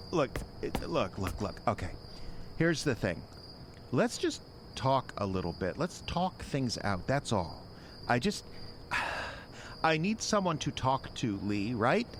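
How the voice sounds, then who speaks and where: An actor playing an unstable, clingy, self-pitying ex-boyfriend in an audio drama, halting and stammering as he wheedles. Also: mid-range voice, fairly bright tone, a little hiss or hum.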